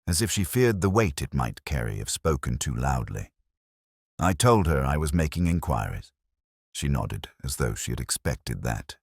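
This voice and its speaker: Very deep, brooding detective played by a man. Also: dry recording, studio quality, full character voice. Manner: measured, literary, reflective. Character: brooding, melancholy male English police detective recounting a case. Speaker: narrator. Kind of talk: scripted first-person detective narration.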